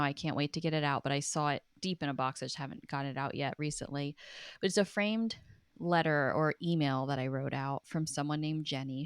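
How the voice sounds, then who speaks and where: A woman's voice: deep voice, clean tone, no room sound, studio quality. A woman speaking as an interview guest, chatty and earnest, telling reflective stories in a conversational way. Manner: earnest, chatty, laughs easily. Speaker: podcast guest, writer and documentary producer.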